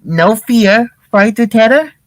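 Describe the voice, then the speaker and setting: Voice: medium pitch, lively intonation, slow, dry recording, pitched above the performer's own voice. A reader voicing a goofy, easily frightened young male slacker who stammers and says "like" a lot. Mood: frightened, shocked. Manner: stammering, peppers speech with "like".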